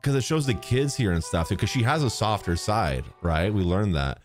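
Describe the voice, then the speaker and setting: A young man hosting a casual game trailer reaction, excitable and slangy, on a close microphone. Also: lowish voice, normal speed, soft background music. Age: young adult. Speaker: YouTube reaction host and streamer. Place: small home streaming room. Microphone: close boom-arm microphone.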